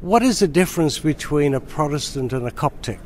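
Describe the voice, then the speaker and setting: A man from Nigeria hosts the interview with a voice a little higher than usual. He asks friendly questions and nods along with "yeah" and "mm-hmm". Faint noise runs behind him. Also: animated, fairly quick, a little mellow. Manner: friendly, frequent "yeah" backchannels. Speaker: interview host.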